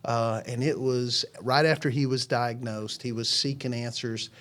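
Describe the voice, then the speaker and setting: A man in his forties speaks as a guest on a church podcast. He talks earnestly and at length, circling back and restarting his sentences as he tells stories about his life and faith. His voice sits at an ordinary middle pitch. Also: steady tone, moderate pace, a bit bright. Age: 40s. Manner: earnest, rambling storytelling with many restarts. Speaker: ministry founder, podcast guest. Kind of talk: casual chat.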